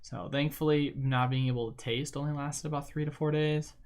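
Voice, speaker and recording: A man's voice: a little high, fairly even intonation, fairly quick, a little mellow. Rambling young man on a livestream. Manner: rambling, filler-heavy, slangy. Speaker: livestream host. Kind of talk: casual chat while gaming.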